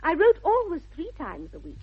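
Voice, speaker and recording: A woman's voice: very high voice, huge pitch swings, moderate pace, heavily acted. An actress with a British accent playing a dreamy, headstrong young Victorian lady in a 1960s radio comedy, with precise, affected, earnest delivery. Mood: matter-of-fact, prim. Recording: dull vintage 1960s radio recording.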